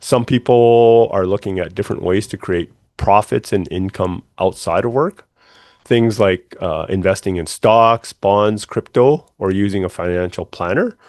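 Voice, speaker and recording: A man hosting an interview in a conversational, somewhat halting way, often restarting his words. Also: on the low side, a little mellow, no room sound, clean studio sound. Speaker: interview host.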